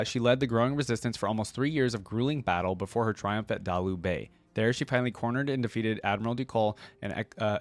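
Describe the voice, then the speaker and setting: A man hosting a reaction video, reading lore aloud and commenting in an informal, exclamatory way. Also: fairly low pitch. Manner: informal, filler-heavy, exclamatory asides.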